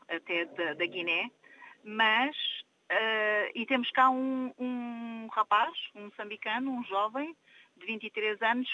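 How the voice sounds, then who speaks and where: Earnest European Portuguese woman as charity spokesperson; bright voice, dull dry recording. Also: medium pitch. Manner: earnest, frequent tag questions. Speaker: charity association representative. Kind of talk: interview answers.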